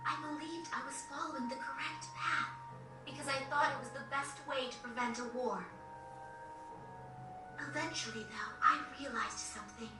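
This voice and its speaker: Game heroine played by a woman: young noblewoman, earnest, formal delivery, high voice, music underneath. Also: lightly acted. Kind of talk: dramatic video game cutscene acting. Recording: echoey, distant, music behind.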